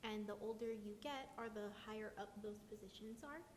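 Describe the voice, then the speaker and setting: A twenty-four-year-old woman, a university coordinator, explaining calmly in a panel discussion. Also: normal speed. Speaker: student organizations coordinator. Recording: echoey room, long reverb, rumble.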